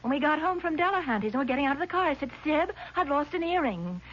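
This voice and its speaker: Agitated woman, highly animated delivery. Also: fast. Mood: indignant. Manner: emphatic, indignant, faltering when doubted. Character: agitated, confused woman insisting on her memory. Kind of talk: 1970s radio drama acting. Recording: muffled vintage radio broadcast, faint noise.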